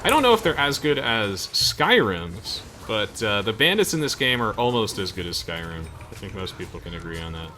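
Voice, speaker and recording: A man's voice: fairly low pitch, sing-song, normal speed, few pauses, sharp tone, slight background noise. A young man giving casual video game commentary to friends as the host of a playthrough. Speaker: let's play host. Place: game voice chat with music behind.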